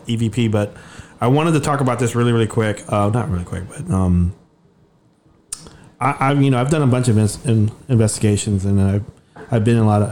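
A man on a paranormal investigation team, talking casually with many pauses and fillers. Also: mid-range voice, mellow. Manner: halting, lots of fillers, conversational. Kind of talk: casual group discussion.

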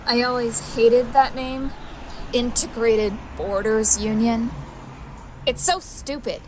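High, slow-talking young woman over noise. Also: fairly even intonation, darkish tone, low-quality recording. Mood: defiant, dismissive. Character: defiant, flippant young woman citizen. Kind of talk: conversational audio drama acting.

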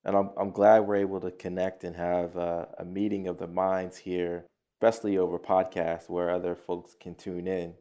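Man, very low pitch, upbeat, podcast host, repeats himself. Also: flat delivery, normal speed, dark tone. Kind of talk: podcast interview hosting.

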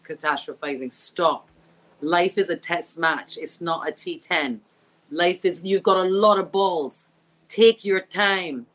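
A woman's voice: mid-range voice, a bit bright. Woman, sportscaster guest, emphatic and reflective, talking over a remote call. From Barbados. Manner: emphatic, reflective, repeats key words. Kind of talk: casual interview chat.

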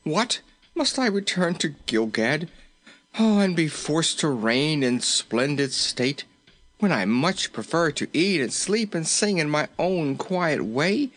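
A man's voice: high voice, pitched above the performer's own voice, full character voice. A man voicing a comical, cowardly, self-pitying but tender-hearted king in a storybook, moaning melodramatically. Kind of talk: storybook character voice.

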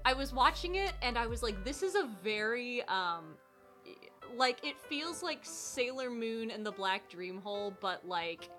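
A woman's voice: fairly high pitch, very animated, unhurried, pushed and bright, faint music behind. A woman chatting casually and enthusiastically in slang as she reviews a film on a podcast. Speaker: podcast guest.

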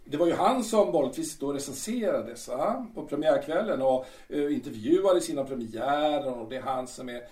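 A man's voice: on the high side, lively intonation, few pauses, darkish tone, roomy sound, recorded from a distance, close to an everyday voice. A man playing a vain, brash musical star. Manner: boastful, stammering, ends lines with "va?". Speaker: musical star Peter in his dressing room. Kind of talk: audio drama acting.

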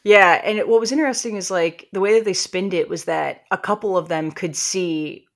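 A woman podcast co-host with a lowish voice and strong vocal fry. She talks casually, telling things in loose, informal bursts and voicing reactions as she goes. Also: normal speed. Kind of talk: casual chat.

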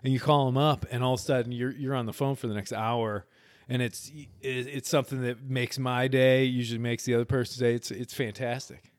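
A man in his forties hosting a relaxed podcast chat, his voice sitting in an ordinary middle register. He talks earnestly and a little rambling, circling back on his sentences and laughing easily. The sound is dry and close, with no room around it. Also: medium pitch, fairly quick, no echo. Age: 40s. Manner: earnest and rambling, with frequent restarts and easy laughs. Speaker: podcast host. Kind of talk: casual conversational interview.

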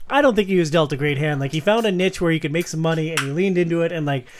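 A man's voice: a little high, very fast, slight background noise. A man hosting a casual film discussion, enthusiastic and opinionated in a slangy way. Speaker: podcast host.

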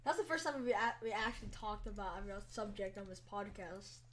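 A woman's voice: mid-range voice, a little fast, slight background noise. A woman hosting a casual podcast over voice chat, giggly and teasing, steering the topics. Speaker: podcast host. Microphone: online voice chat.

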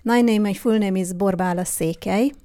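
A woman in her forties with a Hungarian accent, a guest telling her story in a relaxed interview, with frequent fillers and restarts. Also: mid-range voice, fairly quick. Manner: hesitant, many fillers and restarts. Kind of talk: casual interview conversation.

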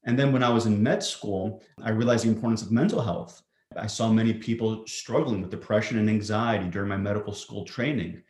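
A man with a low voice explains anxiety as a psychiatrist, talking in a measured way with many ums and uhs. His voice rings in an echoey room. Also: a little mellow. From Greece. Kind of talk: explanatory interview answers.

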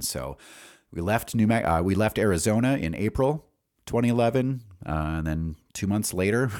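Deep voiced american guy on a podcast, rambly and candid, swears a bit, thinks out loud as he tells his story. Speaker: podcast host. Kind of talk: casual autobiographical storytelling.

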